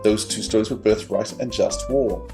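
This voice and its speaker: A man's voice: fairly low pitch, fairly even intonation, moderate pace, over music. A man co-hosting a fan podcast, presenting facts and chatting. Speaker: podcast co-host. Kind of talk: trivia read-out and fan chat.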